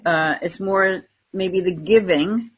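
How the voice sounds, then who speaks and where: A woman co-hosting a podcast in casual conversation, speaking in a tentative, reflective and halting way. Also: fairly low pitch, breathy edge. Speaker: podcast co-host. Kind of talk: casual spiritual chat.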